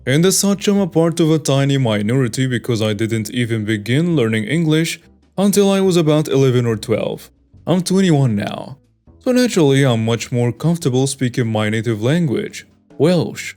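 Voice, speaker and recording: A man reading online stories aloud in a steady, scripted narration. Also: mid-range voice, moderate pace. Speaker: story narrator. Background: faint background music.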